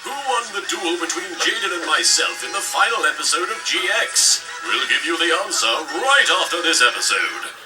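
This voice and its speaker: A man's voice: on the high side, animated, normal speed, hardly any pauses, recorded from a distance, loud music behind the voice, close to an everyday voice. A man voicing a cocky, goofy young pharaoh duelist in a theatrical, boastful parody cartoon style. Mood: upbeat promo. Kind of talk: comedic anime parody dub.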